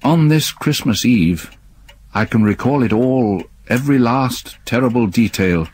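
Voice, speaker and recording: An actor playing an older, haunted man who quietly apologizes and then broods over a ghost story he must tell. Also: mid-range voice, expressive, unhurried, slightly rough, no echo. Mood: brooding. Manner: subdued, apologetic, weary. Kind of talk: radio drama acting and narration.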